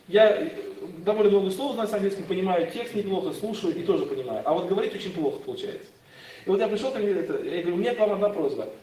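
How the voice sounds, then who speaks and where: A man leading a church Bible study, fast and animated, stumbling over restarts as he explains. His voice is high for a man, and he is picked up from across an echoing room. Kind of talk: informal sermon with questions and answers. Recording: echoey room, mic far off.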